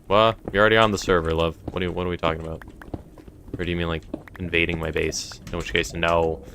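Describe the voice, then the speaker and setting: A man's voice: low voice, flat delivery, fast talker, background noise. A young man chatting casually on a live stream, answering chat and rambling, his voice a little strained. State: voice feeling strained. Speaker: streamer running a game server.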